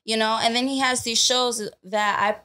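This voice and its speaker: A woman from the Bronx, a podcast guest, asking questions with lively laughs in a casual studio chat. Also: on the high side, normal speed. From Bronx, New York. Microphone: boom mic on arm.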